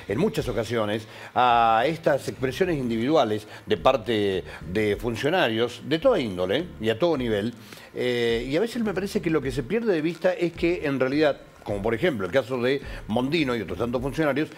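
A middle-aged man, giving measured studio commentary with hesitant fillers. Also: medium pitch, slight background noise. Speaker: TV political commentator. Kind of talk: studio talk show commentary.

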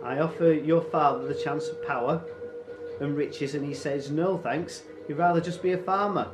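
A man's voice: a little high, steady tone, moderate pace, echoey room, music in the background, voice pushed up, close to an everyday voice, low-quality recording. A man voicing a cruel Viking warlord in a storybook, taunting and boastful with false friendliness. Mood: menacing, amused. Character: cruel, mocking Viking warlord, swaggering villain.

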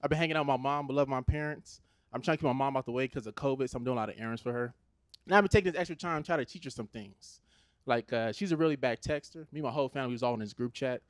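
A man from Los Angeles in his thirties with an American accent, performing a stand-up comedy set on a theater stage into a handheld mic, animated and conversational. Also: mid-range voice, very fast, no echo. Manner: animated, conversational, switches into put-on Nigerian voices for his parents.

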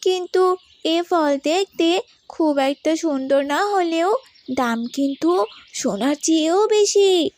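A very high-pitched little girl, speaking slowly and earnestly. She sounds gentle, pious and wise beyond her years, as in a moral cartoon. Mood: calm, gently chiding. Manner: slow, earnest, preachy.

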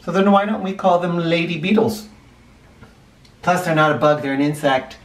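A man's voice: on the high side, normal speed. A middle-aged man teaching young children and reading a picture book aloud, explaining simply and stressing key words. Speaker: first grade teacher. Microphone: camera a short distance away. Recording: roomy, distant camera microphone.

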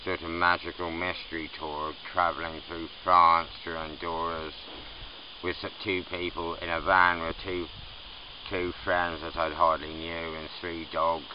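A man's voice: low voice, flat delivery, measured pace. A middle-aged man who grew up in Scotland, telling his story in a rambling, self-correcting way, sitting outdoors. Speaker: interviewee. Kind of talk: casual storytelling. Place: outdoors under trees in a camp. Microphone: far camera. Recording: muffled distant outdoor recording with noise.

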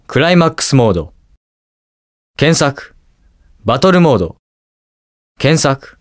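A man's voice: medium pitch, unhurried, no room sound, clean studio sound. A young man playing a cool, inquisitive detective superhero in a video game, with precise, teasing delivery. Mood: composed, curious. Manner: calm, precise, smug and curious delivery. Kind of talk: Japanese video game voice acting, short lines.

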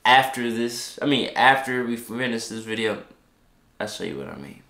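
A man's voice: medium pitch, moderate pace, vocal fry, pushed and bright. A young man hosting a reaction video, his nose stuffed up and his talk loose and joking. He rambles through made-up words with a grin. The living room gives his voice a roomy echo. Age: young adult. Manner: playful, rambling jokes. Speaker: reaction channel host. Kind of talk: casual chat. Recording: echoey room.

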